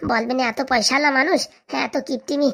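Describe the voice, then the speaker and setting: Cartoon comedy: actress as scheming, mouthy village lad, high voice, breakneck sarcastic delivery. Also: female voice, steady tone, gravelly, fairly bright tone, exaggerated voice. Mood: persuasive, bossy. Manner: sarcastic, blunt, quick-tongued. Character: cheeky, sarcastic young village man, scheming and bossy.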